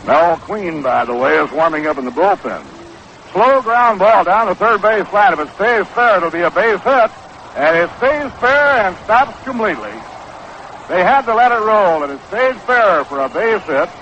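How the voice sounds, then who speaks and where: An American man calling baseball play-by-play in 1960s radio style, with a measured, unhurried, factual delivery. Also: sits high, lively intonation, a bit bright. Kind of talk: 1960s live radio sports commentary. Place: ballpark broadcast booth. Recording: dull, noisy 1960s AM radio broadcast.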